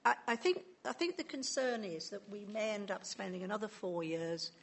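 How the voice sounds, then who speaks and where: A woman's voice: medium pitch, expressive, moderate pace. A woman councillor speaking in a formal council meeting, earnest and hesitant, often restarting her words.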